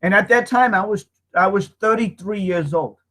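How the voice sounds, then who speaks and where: A man in his fifties telling his own life story as a personal testimony, emotional and halting, often repeating himself and starting over. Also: high voice, pushed and bright. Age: 50s.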